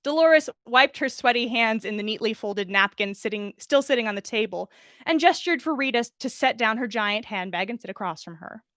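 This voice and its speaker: A woman's voice: a little high, animated, normal speed, fairly bright tone, no room sound, clean studio sound. A woman narrating fan fiction expressively as a podcast host reading a story aloud.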